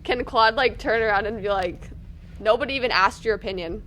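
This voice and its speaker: A woman's voice: a little high, fairly quick, a crowd in the background. A woman chatting informally and slangily as a player in a tabletop game. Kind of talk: casual chat. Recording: noisy home group recording.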